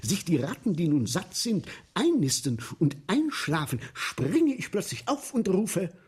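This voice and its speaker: A husky, swooping macabre showman. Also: high-pitched, very animated, measured pace, full character voice. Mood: triumphant, macabre. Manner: savoring, theatrical storytelling with mimicry. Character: gleeful, philosophizing male sewer inspector turned macabre showman. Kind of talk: 1970s German radio play acting.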